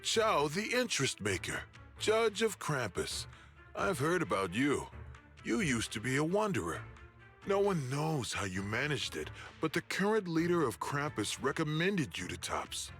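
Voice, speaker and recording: A man's voice: fairly high pitch, wildly swooping pitch, a little slow, a bit bright, faint music behind, heavily acted. An actor voicing a scheming, arrogant male corporate enforcer who taunts and threatens in a game cutscene. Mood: threatening. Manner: mocking, taunting.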